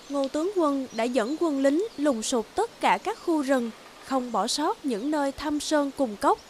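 A woman's voice: high-pitched, a little mellow. A woman giving scripted storybook narration for a cartoon.

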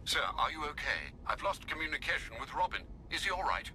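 A man's voice: fairly high pitch, very animated, fairly quick, bright, forceful tone, a little hiss or hum, full character voice. A man playing a dutiful, concerned older butler in a video game, speaking formally and politely. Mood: concerned, businesslike. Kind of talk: video game drama acting.

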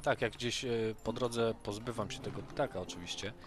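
A man's voice: on the low side, normal speed, music in the background. A man taking part in a tabletop role-playing game, thinking aloud hesitantly as he describes what his character says and does.

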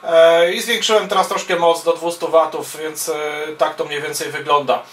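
A man's voice: a little high, fairly even intonation, normal speed, slight background noise. A man making amateur radio calls and casual commentary, procedural, with hesitant phrasing, recorded from a distance in a small room. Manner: procedural, spells callsigns in phonetic words, hesitant between phrases. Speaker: amateur radio operator and YouTuber. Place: small wood-panelled radio room indoors. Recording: roomy, distant microphone sound.

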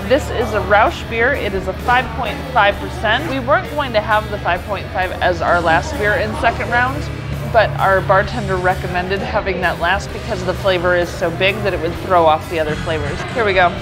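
A woman's voice: medium pitch, normal speed. An American woman in her thirties chatting casually and playfully as a vlog host. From United States. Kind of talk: casual vlog chat, beer tasting. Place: indoor brewery taproom. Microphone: clip-on lapel mic. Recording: busy taproom with loud music and crowd. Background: music, bar crowd chatter.

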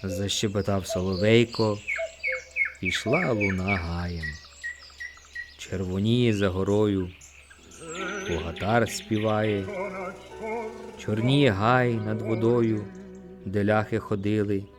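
A man narrating an audiobook, reciting poetry in an expressive, theatrical way. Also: fairly low pitch, slow pace, long pauses. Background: music.

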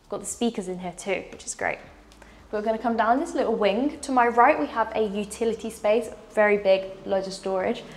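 A woman's voice: mid-range voice, fairly quick. A young woman presenting a walking tour of a large house, enthusiastic and gesturing as she goes, on a lapel mic. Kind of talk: upbeat house tour narration. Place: large furnished house indoors.

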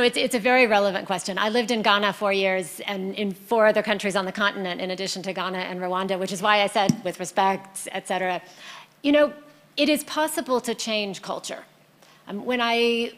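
A woman in her forties gives a measured, explanatory answer as a conference panelist. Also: mid-range voice. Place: indoor conference stage. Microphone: handheld microphone.

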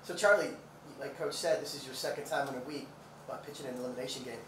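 A man's voice: mid-range voice, quick pace, a bit bright, recorded from a distance. A man moderating a post-game press conference with casual sports questions. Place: indoor press conference room. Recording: echoey, off-mic, faint room noise.

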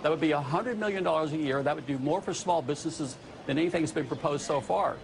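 A man's voice: on the high side, fast talker, gravelly, slight background noise, rough recording. A middle-aged man speaking as a guest on live TV from a remote studio, blunt and emphatic, reeling off figures. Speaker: small business advocate guest. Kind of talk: live TV interview. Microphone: remote studio camera.

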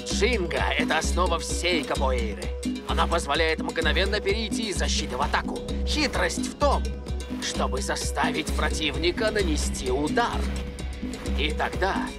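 Man voicing upbeat, animated young capoeira mentor. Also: a little high, moderate pace, close to an everyday voice. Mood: instructive. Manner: encouraging, instructive. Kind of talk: animated cartoon dub acting. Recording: background music over dialogue.

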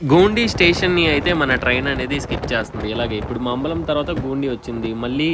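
A man with a Telugu accent chatting as a travel vlogger inside a moving train, explaining stations as he goes. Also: fast. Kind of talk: casual travel vlog commentary. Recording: train rumble in background.

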